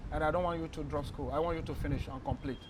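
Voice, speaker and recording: Beninese guy w french accent telling his story, fairly high voice, noisy street around him. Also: quick pace. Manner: animated, acts out bus-stop calls. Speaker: guest, company founder. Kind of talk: storytelling interview.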